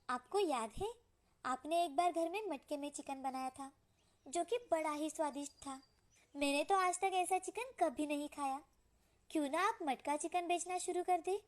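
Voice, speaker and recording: A woman plays a young village daughter in a cartoon moral tale. The voice is very high, girlish and earnest, coaxing the listener with eager helpfulness. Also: moderate pace, close to an everyday voice. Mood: eager, hopeful. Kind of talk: animated moral-story dubbing.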